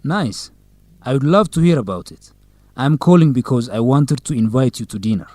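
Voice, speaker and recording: A man acts out an eager, friendly young man in a radio drama made for learners. His pitch sits in the middle range. The sound is dry, with very little room. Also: animated, moderate pace, warm tone, no room sound, lightly acted. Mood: upbeat, eager. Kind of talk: educational radio drama acting.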